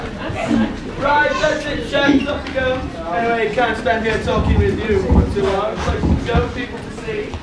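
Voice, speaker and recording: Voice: mid-range voice, steady tone, moderate pace, close to an everyday voice. A performer playing a flippant, carefree young pantomime prince, breezy and showy. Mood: boastful. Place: large hall, live stage show. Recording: distant, echoey hall, loud crowd noise.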